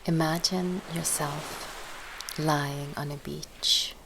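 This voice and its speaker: A woman guides a relaxation session in a low, even voice with very little rise and fall. She speaks softly and soothingly, leaving long pauses between instructions. A steady wash of sea waves runs behind her. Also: vocal fry. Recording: steady ocean-wave noise behind voice. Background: sound of sea waves.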